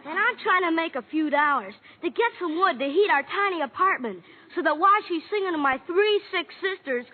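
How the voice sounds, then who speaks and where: High-voiced little boy salesman. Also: expressive, brisk, hardly any pauses. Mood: earnest pitch. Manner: glib, wheedling sales patter. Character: small boy salesman, slick, fast-talking little con artist. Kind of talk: 1940s radio comedy acting. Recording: tinny, band-limited old radio recording.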